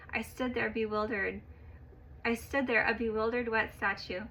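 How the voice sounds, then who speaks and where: Expressive woman telling a personal story. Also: on the high side, rough recording. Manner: expressive, growing louder at exciting moments.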